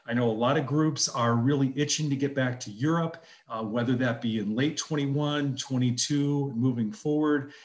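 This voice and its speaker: A man's voice: medium pitch, moderate pace, few pauses. A middle-aged man hosting an interview over a video call, upbeat and enthusiastic. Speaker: host.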